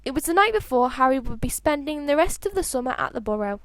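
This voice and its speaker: A woman's voice: sits high, sing-song, fast talker, dry recording, clean studio sound. A woman narrating a story like an amateur audiobook, reading expressively with the occasional stumble over words. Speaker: fan fiction narrator.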